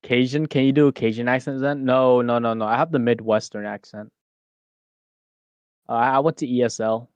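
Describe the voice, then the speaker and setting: A man co-hosting a stream, teasing his partner with quick comebacks in casual banter. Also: mid-range voice, fairly even intonation, smooth, no room sound, highs cut off. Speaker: streamer co-host.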